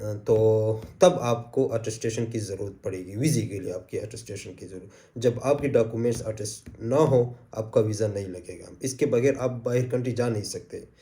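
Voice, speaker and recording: A man with a sore throat, giving casual advice as a channel host, with frequent "uh" pauses. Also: lowish voice, dark tone. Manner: easygoing, repeats points, frequent "uh" fillers. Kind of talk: casual advice talk to camera.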